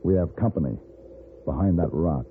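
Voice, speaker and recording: Tinny old radio, faint hiss, authoritative gunfighter, low voice, composed, western drama. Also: male voice, lively intonation, moderate pace. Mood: tense, cool. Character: cool, decisive gentleman gunfighter for hire. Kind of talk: 1950s radio western acting.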